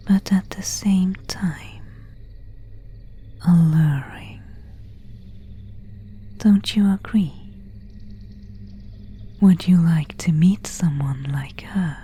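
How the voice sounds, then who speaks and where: Lady acting out a courteous but menacing vampire woman, asmr roleplay, super slow dark breathy whisper. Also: sits low, a little hiss or hum. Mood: softly alluring. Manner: slow, formal, old-fashioned phrasing. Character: seductive, predatory female vampire, courteous and menacing.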